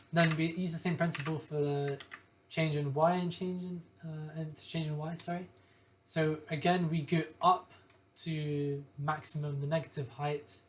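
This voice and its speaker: Young man, hoarse, walking through code. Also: fairly high pitch. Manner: methodical, corrects himself often. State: hoarse from a lingering cough. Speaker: programming tutor. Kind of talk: screen-share coding walkthrough.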